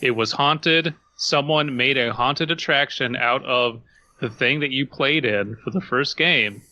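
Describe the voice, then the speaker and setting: A man co-hosting a podcast in casual comedic banter, giving his opinions with some excited stammering. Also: mid-range voice, a little slow, dry recording. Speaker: podcast co-host.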